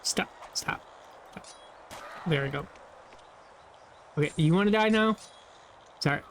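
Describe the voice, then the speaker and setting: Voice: mid-range voice, slow, slight background noise. A young adult gamer talking loosely over gameplay, rambling, laughing often and swearing casually. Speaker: gameplay video creator.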